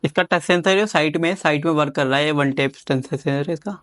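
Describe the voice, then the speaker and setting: Man, slightly high voice, casual unboxing commentary, informal, enthusiastic, repetitive phrasing, dry close sound. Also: a little fast, fairly bright tone, no echo. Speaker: tech gadget reviewer.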